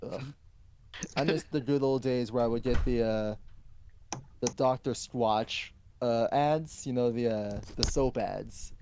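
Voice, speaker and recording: A man's voice: medium pitch, unhurried, a little mellow, slight background noise. A man chatting casually as co-host of a group stream. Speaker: co-host of a fan fiction reading stream.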